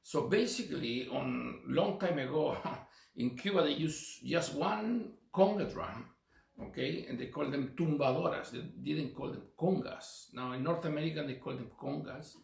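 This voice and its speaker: A man's voice: fairly high pitch, moderate pace, gravelly. A middle-aged man from Chile with a Chilean Spanish accent, teaching a percussion lesson with many fillers and counting beats aloud. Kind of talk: relaxed drum lesson. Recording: echoey room with faint noise.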